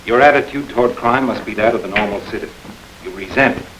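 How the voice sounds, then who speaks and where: An actor playing an idealistic new district attorney who speaks earnestly and persuasively, in a 1930s crime film. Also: male voice, lowish voice, fast, noisy background, lightly acted.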